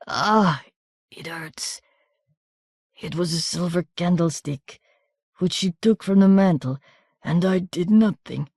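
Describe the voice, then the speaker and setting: A woman voicing a wounded, delirious gentleman. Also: on the low side, no echo, clean studio sound, heavily acted. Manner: pained, breathless, halting, partly whispered. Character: wounded bewildered old-fashioned gentleman, delirious with pain.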